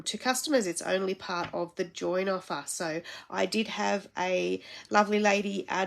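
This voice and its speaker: A woman's voice: on the low side, vocal fry. An Australian woman with a blocked-up, congested nose, chatting casually as she hosts a live crafting stream, rambling with many fillers.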